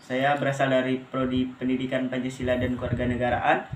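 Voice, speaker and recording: A young man haltingly giving his opinion as a student interviewee in an echoey room. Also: mid-range voice, monotone. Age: young adult. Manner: hesitant, halting. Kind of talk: spoken opinion to camera. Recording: echoey room with faint noise.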